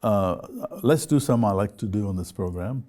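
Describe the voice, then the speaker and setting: A man hosting an interview, putting questions in deliberate, measured phrases with pauses between them. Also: lowish voice, warm tone. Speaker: television interview host.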